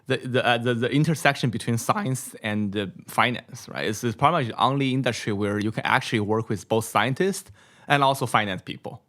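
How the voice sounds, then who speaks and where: VC guest, man in his 30s, Mandarin Chinese accent, mid pitch, repeats words, keeps checking "right?". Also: brisk, a bit bright. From China. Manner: tags sentences with "right?", many repeats. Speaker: venture capital guest. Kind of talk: casual podcast interview. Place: small studio room, brick wall. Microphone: studio mic on boom, headphones.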